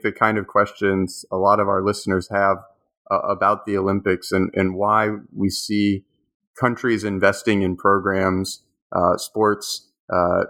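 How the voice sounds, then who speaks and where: A man's voice: fairly low pitch, fairly bright tone. A man hosting a book interview podcast, hesitant and repeating words as he asks questions. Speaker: podcast host.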